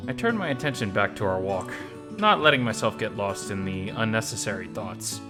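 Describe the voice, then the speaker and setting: A man reading a visual novel aloud as expressive first-person story narration. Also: lowish voice, brisk. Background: faint music.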